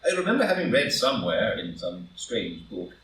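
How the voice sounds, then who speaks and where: A man playing a proud, ambitious wealthy gentleman in a stage drama reading, speaking in an impassioned, grandiloquent and emphatic way. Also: on the low side, big pitch swings, normal speed. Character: proud, ambitious wealthy gentleman defending his guilty secret. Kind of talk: live group play reading, stage drama. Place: indoor room, live in-person group reading. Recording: roomy live recording, distant from mic.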